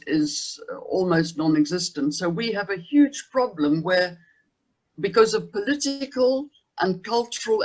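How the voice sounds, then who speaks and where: High-voiced South African man, measured and formal. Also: poor audio. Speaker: panelist. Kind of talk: formal panel discussion.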